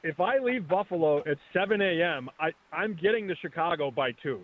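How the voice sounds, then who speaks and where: A man in his thirties calling in as a betting guest, talking fast and casually, often restarting his words. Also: fairly high pitch, lively intonation. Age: 30s. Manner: quick, restarts words, chatty. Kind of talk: casual sports betting chat. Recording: phone line.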